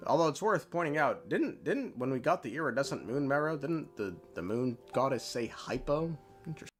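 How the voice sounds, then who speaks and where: A man's voice: mid-range voice, sing-song, fairly quick, soft background music. A man narrating a game lore recap in an emphatic, dramatic way, drawing out key words.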